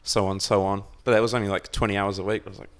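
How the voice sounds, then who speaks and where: A young man with a lowish voice and a New Zealand accent chats as a podcast guest. He tells casual stories in a laid-back way, poking fun at himself and swearing freely. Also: flat delivery, racing. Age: young adult. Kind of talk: casual chat, anecdotes.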